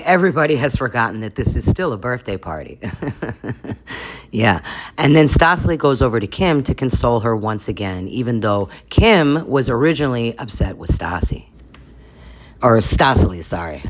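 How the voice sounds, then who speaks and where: A man's voice: medium pitch, no room sound. A man hosting a comedic reaction video, trading sarcastic asides with little chuckles.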